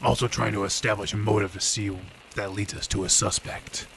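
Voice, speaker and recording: A weary, hard-drinking detective from an old-style radio play. His voice sits low with a gravelly creak. He is gruff and sounds worn down, over faint background noise. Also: fairly even intonation, brisk, fairly bright tone. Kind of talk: old time radio drama acting.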